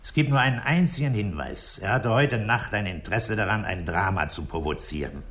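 Actor as deep-voiced, authoritative police commissioner. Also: male voice, a bit bright, lightly acted. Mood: firm, pointed. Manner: measured, deliberate, authoritative. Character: authoritative, methodical male police commissioner, dryly impatient. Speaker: police commissioner leading an investigation. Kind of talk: 1960s German radio crime drama acting.

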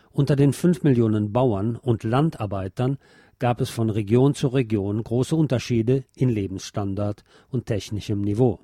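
A man reading scripted history narration as a podcast host, in a measured, factual documentary manner. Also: mid-range voice, dark tone, no room sound, studio quality.

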